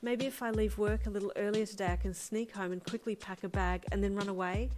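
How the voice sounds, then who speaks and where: A woman's voice: mid-range voice, steady tone, fairly quick, darkish tone, a little hiss or hum, close to an everyday voice. A woman voicing an anxious, hot-tempered young adult daughter in a dramatized audio story, blunt and exclamatory.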